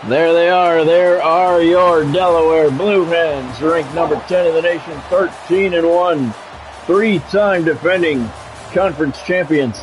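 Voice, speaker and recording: A man's voice: a little high, unhurried, faint music behind, low-quality recording. A middle-aged man hosting a livestream, mixing casual chat with announcer-like football play-by-play commentary. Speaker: livestream host, game commentator.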